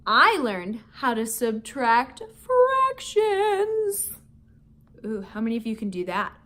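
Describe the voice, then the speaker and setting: A woman's voice: on the high side, sing-song, slow, a bit bright. A woman teacher playfully leading a vocabulary lesson and storybook read-aloud for schoolchildren, addressing her listeners directly. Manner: playful, addresses listeners with questions, chuckles often.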